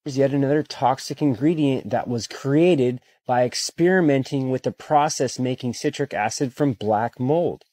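A man with a mellow, dark voice that sounds dry and close, recorded on a phone. He talks in an assertive, warning way in short-video commentary. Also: mid-range voice, moderate pace, few pauses, no room sound. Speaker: social media creator.

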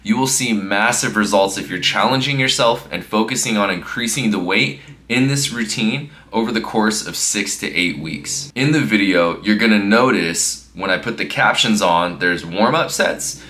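A man's voice: lowish voice, moderate pace, bright, forceful tone. A man in his thirties giving casual workout instruction in an upbeat coaching tone, from a living room and then a gym. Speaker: fitness vlogger.

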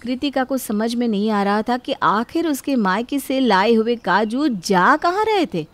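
Woman narrating, slightly high voice. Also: expressive, unhurried, no room sound. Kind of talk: scripted storytelling narration for cartoon.

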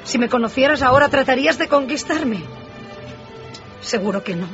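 An actress playing a sorrowful, gentle young woman in a radio drama. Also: high voice, expressive, moderate pace, highs cut off. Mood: sad, resigned. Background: music.

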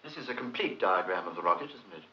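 Lively, sly British investigator. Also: male voice, deep voice, quick pace, slight background noise. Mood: politely probing. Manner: feigned mildness, polite, insistent questioning. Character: probing, sly, urbane male investigator posing as chatty acquaintance. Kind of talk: 1950s British film acting. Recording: dull, muffled 1950s film soundtrack.

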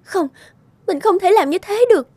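A woman's voice: very high voice, expressive, normal speed, darkish tone, dry recording. A woman voicing a timid, anxious young housemaid in a cartoon, polite and hesitant. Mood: conflicted. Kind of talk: cartoon voice acting. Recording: faint music behind the voice.

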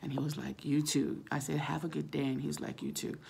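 A middle-aged woman with a husky, gravelly voice, chatting casually to camera and telling a story, acting out the dialogue as she goes. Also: deep voice, little pitch movement, brisk, a little mellow. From Samoan, living in California. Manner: chatty, acts out quoted dialogue. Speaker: vlogger. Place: small room at home, indoors. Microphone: phone at arm's length.

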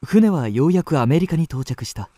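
A man playing a gentleman who tells his own story in a dramatic radio play. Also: on the high side, expressive, brisk, dry recording. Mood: composed. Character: dramatic young gentleman narrator on the run. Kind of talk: first-person radio drama narration.

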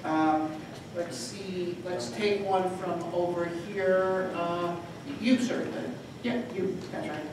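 A man's voice: high-pitched, takes it slowly. A man moderating a conference panel, hesitant and repeating words. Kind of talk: panel discussion. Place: hotel conference room, panel table. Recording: echoey hall recorded from far off, some noise.

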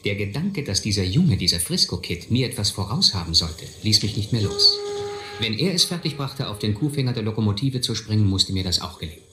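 A man's voice: lowish voice, very animated, brisk. An actor playing a reflective man who narrates his boyhood adventures in a radio play. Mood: reflective, yearning. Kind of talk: scripted first-person radio play narration. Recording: echoey, slightly noisy audio drama recording.

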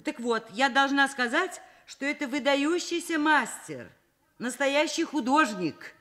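A middle-aged woman professor in a Soviet radio play. She is brisk, caring and quick to exasperation, and her intonation moves in lively swings. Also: high voice. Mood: polite, firm. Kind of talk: Soviet radio drama acting.

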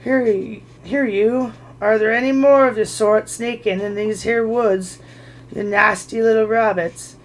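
Threatening male troll, loud and raised in pitch, as the reader puts him on. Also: unhurried, vocal fry, few pauses, poor audio. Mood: menacing. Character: suspicious, menacing male troll.

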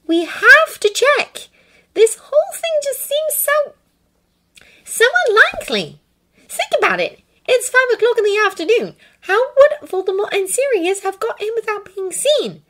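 A sensible teenage witch girl trying to calm a quarrel, as a woman reader puts her on. The voice sits high above the reader's normal register and speaks carefully but firmly. It is a storybook reading. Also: unhurried, heavily acted. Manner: careful, placating, high and loud.

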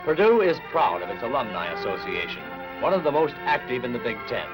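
Casting: male newsreel announcer, 1960s style, formal and measured, mid-pitched, with music clearly behind. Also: big pitch swings, poor audio. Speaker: newsreel narrator. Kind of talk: 1960s newsreel scripted narration. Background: background music.

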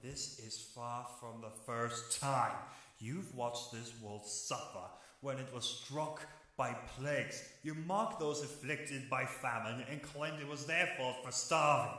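An actor playing a stern, sorrowfully righteous archangel in an audio drama, with grave, declamatory delivery. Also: male voice, medium pitch, pushed and bright. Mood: stern, indignant. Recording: heavily echoing voice.

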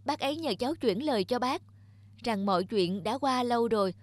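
A woman's voice: on the high side, animated, fairly quick, no room sound. A woman voicing a polite, curious and caring young woman in a cartoon. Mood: gentle. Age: young adult. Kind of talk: cartoon voice acting.

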